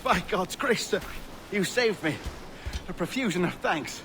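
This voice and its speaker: An actor playing a timid, genteel gentleman in a video game cutscene, speaking in a polite, flowery, nervously hesitant way. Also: high-pitched, lively intonation, unhurried, noisy background. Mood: grateful, shaken. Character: timid, genteel, grateful gentleman merchant, easily flustered.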